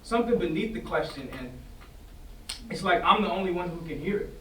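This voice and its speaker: A young man plays a troubled, defensive teenage son in a stage drama. His voice sits fairly high, and his talk is colloquial and insistent, circling back on phrases. He is picked up from a distance in a roomy space with faint noise. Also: lively intonation, brisk. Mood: agitated, indignant. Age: young adult. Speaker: drama student acting in a staged reading. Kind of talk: staged play reading, naturalistic acting. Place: indoor staged reading before festival, distant mic.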